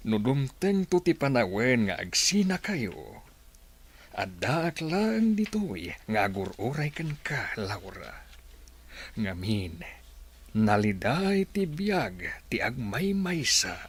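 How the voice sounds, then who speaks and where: A man's voice: medium pitch, sing-song, slow, husky, exaggerated voice. A man playing a lonely, insinuating older village don courting a woman in an Ilocano radio comedy drama. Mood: coaxing, wistful.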